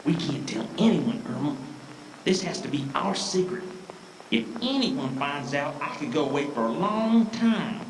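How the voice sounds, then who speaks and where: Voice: medium pitch, very animated, measured pace. An actor playing a panicked, guilty ghost in an amateur audio drama, speaking in an agitated, desperate way. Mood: desperate, secretive. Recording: echoey, distant, faintly noisy.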